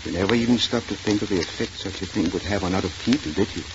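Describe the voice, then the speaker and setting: An actor playing a kindly but firm family doctor in a 1940s radio drama. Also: male voice, low-pitched, fast talker. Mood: firm, probing. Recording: noisy, muffled 1940s radio recording.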